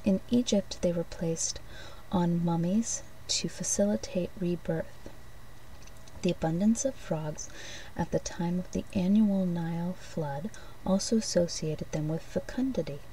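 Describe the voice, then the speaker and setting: A woman doing an ASMR role play as a shopkeeper, speaking softly and gently, often breathy and near a whisper, in unhurried short phrases. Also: on the low side, far from the mic, a little hiss or hum, poor audio. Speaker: ASMR host playing a shopkeeper giving card readings.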